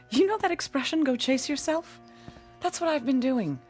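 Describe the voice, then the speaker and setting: An actress playing a bitter, haunted, hard-drinking woman in her forties in a radio drama, theatrical and sardonic with a husky voice. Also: high-pitched, expressive, unhurried, a little mellow. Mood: agitated, manic. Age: 40s. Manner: theatrical, sardonic, self-pitying. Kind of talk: old-time radio drama acting. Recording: radio drama with music underneath.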